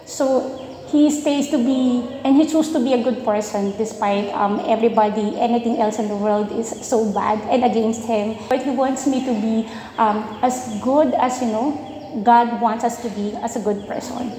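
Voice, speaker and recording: Earnest young bride in an echoey hall. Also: female voice, a little high, fairly even intonation, normal speed, mellow. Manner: earnest, searching for words with fillers. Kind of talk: interview about her groom. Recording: echoey hall with faint hiss.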